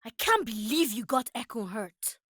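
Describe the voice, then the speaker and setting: A woman as a feisty, high-voiced cartoon girl. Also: lively intonation, moderate pace, a bit bright, husky. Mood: angry. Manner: bickering, scolding. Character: feisty, indignant girl heroine.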